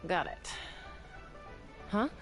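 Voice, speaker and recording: A woman's voice: extremely slow, fairly bright tone, soft background music. An actress playing a reserved, dutiful, slightly awkward young woman in a video game. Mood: awkward, contrite.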